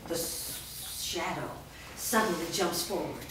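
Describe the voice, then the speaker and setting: A woman reads the stage directions in a steady, descriptive way. She sounds far from the mic in a very echoey room with faint background noise. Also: on the low side, takes it slowly. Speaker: narrator reading stage directions. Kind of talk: staged script reading. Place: large studio room. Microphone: far camera mic.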